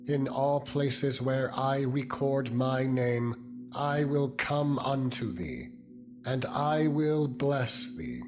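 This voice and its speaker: A man voicing God as a solemn, commanding lawgiver in a scripture drama, stately and deliberate. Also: mid-range voice, lightly acted. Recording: background music under the voice.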